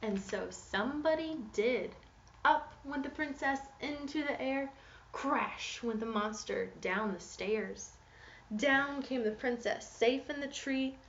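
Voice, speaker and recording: A woman giving an expressive, interactive children's read-aloud in a teacherly way, putting questions to young listeners. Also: sits high, takes it slowly, recorded from a distance. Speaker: teacher reading a picture book aloud. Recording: echoey room, muffled, noisy background.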